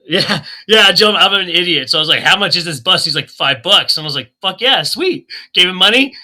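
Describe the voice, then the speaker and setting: Guy from oklahoma, super bright voice, kinda high, tells stories all animated and laughs a lot. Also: a little fast. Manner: animated storyteller, laughs often, acts out quotes. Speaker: group call participant. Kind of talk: casual chat.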